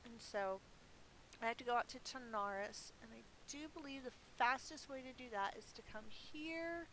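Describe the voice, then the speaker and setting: A woman's voice: on the high side, normal speed, fairly bright tone, rough recording. A woman giving casual live gameplay commentary as a streamer, chatty and thinking aloud. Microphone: budget gaming mic. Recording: faint hiss from a cheap microphone.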